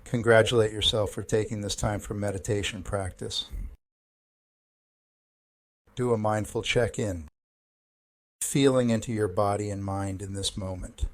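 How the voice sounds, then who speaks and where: Low-voiced man guiding a meditation. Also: dry recording. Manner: calm, measured, gentle instruction with pauses. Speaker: counselor leading a guided meditation. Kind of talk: guided meditation narration.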